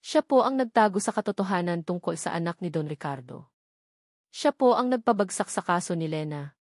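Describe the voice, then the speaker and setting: A woman's voice: lowish voice, lively intonation, fairly quick, dry recording, clean studio sound. A Filipino woman narrator voicing a young woman who makes accusations in a firm, formal and polite way in a story. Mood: firm accusing. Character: firm young female accuser exposing a villain.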